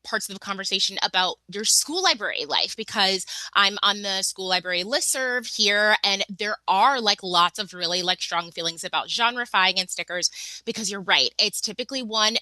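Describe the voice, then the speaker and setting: A woman's voice: mid-range voice, normal speed, pushed and bright, no echo, rough recording. A woman hosting an online library webinar over a video call, chatty and casual, full of fillers and restarts. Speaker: webinar host.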